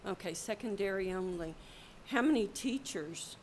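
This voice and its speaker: A woman school board member chairing a public meeting, asking direct, brisk questions. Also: medium pitch, animated, a crowd in the background, rough recording.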